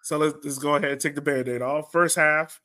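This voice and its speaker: A man's voice: a little high, steady tone, fairly quick, bright, forceful tone. A man hosting a podcast with casual sports chat, talking like a rueful, self-deprecating fan. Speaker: podcast host.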